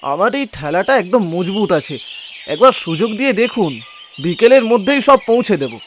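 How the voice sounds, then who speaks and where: A man's voice: high voice, expressive, a little fast, a little hiss or hum. A man voicing a poor, earnest cart-puller. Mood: pleading. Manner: pleading and sorrowful. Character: poor honest male cart-puller, young adult, downtrodden. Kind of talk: animated storybook voice acting. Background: background music.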